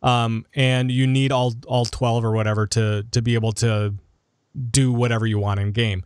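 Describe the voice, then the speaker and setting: A man's voice: mid-range voice, little pitch movement, moderate pace, clear, resonant tone, no echo. A man hosting a casual gaming podcast discussion, talking conversationally into a close microphone. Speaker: podcast host.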